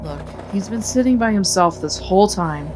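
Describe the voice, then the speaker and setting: A woman's voice: mid-range voice, expressive, normal speed, a little mellow, a little hiss or hum, lightly acted. An actress playing a protective, troubled female soldier in an audio drama.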